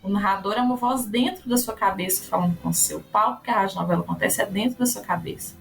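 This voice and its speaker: A woman's voice: medium pitch, fast, creaky, a little hiss or hum. A woman from Brazil, an actress and educator, talking casually and animatedly about her work, thinking aloud with rhetorical questions. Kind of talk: casual interview talk.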